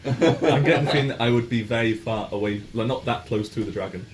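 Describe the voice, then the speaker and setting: A man's voice: medium pitch, fast talker, slight background noise. A man chatting casually with friends as a player in a tabletop game, with a dry, jokey manner. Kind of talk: casual chat among friends.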